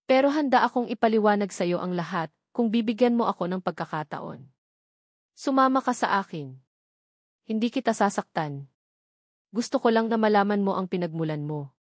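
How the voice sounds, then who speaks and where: A woman narrator plays a gentle, secretive go-between in an audio love drama. She is soft-spoken and earnest, at a middling pitch. The sound is dry and plain. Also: lively intonation, moderate pace, long pauses, no echo, close to an everyday voice.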